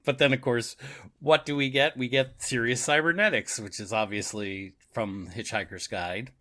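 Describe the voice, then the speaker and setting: A man's voice: mid-range voice, steady tone, moderate pace, bright, forceful tone. A man co-hosting a podcast, casually discussing a book and its radio adaptation, often chuckling as he talks. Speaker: podcast co-host.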